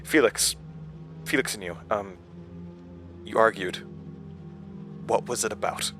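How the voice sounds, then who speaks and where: Male, lowish voice, anxious questioner in horror audio drama, stammering and hesitant, music underneath. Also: takes it slowly. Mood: nervous questioning. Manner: hesitant, stammering, broken phrases. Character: troubled, anxious man gently questioning a troubled friend.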